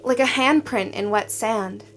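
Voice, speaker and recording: Tentative young-sounding explorer woman, acted, highish voice, slow pace, curious, naturalistic audio drama. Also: faint music behind, natural voice. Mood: wondering, uneasy. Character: curious, hesitant woman exploring a strange quarantined place.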